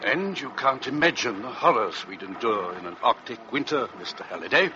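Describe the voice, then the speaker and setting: An actor playing a stern, grave expedition captain in old-time radio drama, with a commanding, formal, solemn manner. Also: male voice, mid-range voice, lively intonation, moderate pace, natural voice. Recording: muffled, noisy old radio drama recording.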